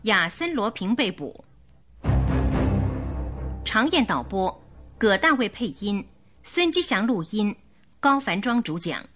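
A woman narrating a radio drama in an expressive, suspenseful storytelling style. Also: medium pitch, slow pace, pauses often. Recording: music bed behind the voice. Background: music.

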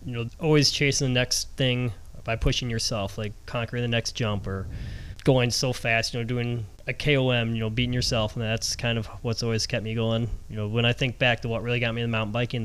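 A man chats as a podcast co-host in a smooth, clear voice. He is casual and conversational, often dropping in "you know" as he goes. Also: medium pitch, little pitch movement, fast, fairly bright tone.

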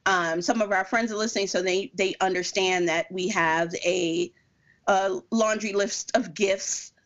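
A woman's voice: medium pitch, steady tone, a little slow, highs cut off. A woman co-hosting a podcast in casual chat, asking rambling, informal questions with salty language. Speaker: podcast co-host.

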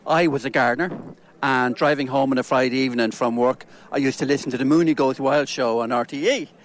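Middle aged irish beekeeper, relaxed chatty guy, normal pitch. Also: brisk, rough recording. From Ireland. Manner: easygoing and chatty. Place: outdoor show stall.

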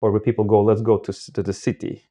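Man, low pitch, very mellow tone, casual interviewee, halting word choice. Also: level tone, breathy edge. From Moldova. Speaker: podcast guest.

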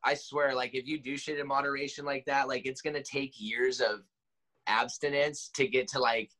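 A man's voice: mid-range voice, steady tone, moderate pace, sharp tone. A man in his late twenties talking bluntly on a podcast, swearing and calling everyone "bro.". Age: 20s. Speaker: podcast guest. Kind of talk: casual podcast chat.

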